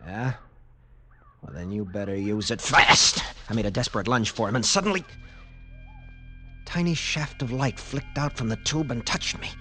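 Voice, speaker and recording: A man's voice: mid-range voice, normal speed, close to an everyday voice. A man acting in a 1940s radio drama as a tough lieutenant recounting a frightening ordeal in an earnest, intense way. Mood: defiant. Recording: noisy vintage radio recording.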